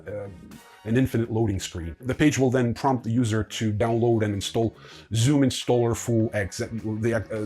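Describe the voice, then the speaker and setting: A man's voice: fairly low pitch, darkish tone. A man hosting a crypto news roundup, reading headlines with frequent "uh" fillers and dropping dry, sarcastic asides. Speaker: news host.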